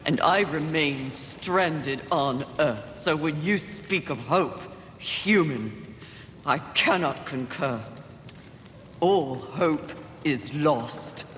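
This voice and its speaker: An actress playing a proud, scornful female horseman of the apocalypse in a video game, speaking gravely and formally. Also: sits low, very slow, fairly bright tone, heavily acted. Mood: bleak. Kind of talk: dramatic video game acting. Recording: echoey reverberant hall, noisy backing.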